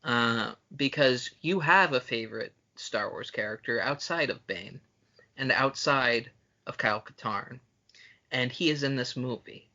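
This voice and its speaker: Male podcast co-host, very sharp bright voice with heavy creak, casual chat, slightly dull lo-fi recording. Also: mid-range voice, unhurried.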